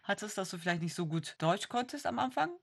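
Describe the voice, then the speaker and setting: A woman's voice: medium pitch, expressive, fast. A woman interviewing in casual German, supportive and often finishing the other speaker's sentences.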